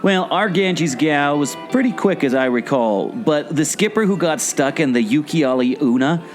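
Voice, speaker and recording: Animated, theatrically puzzled man. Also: on the high side, moderate pace. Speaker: podcast co-host, former theme park skipper. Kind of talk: scripted audio theater dialogue. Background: guitar music.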